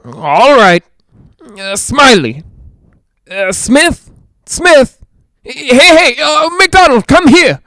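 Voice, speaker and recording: A man's voice: extremely high pitch, animated, very slow, pauses often, bright, forceful tone, dry recording, heavily acted. A man playing a grumpy, anxious, penny-pinching property owner in a 1940s-style radio drama, curt and suspicious. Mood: agitated.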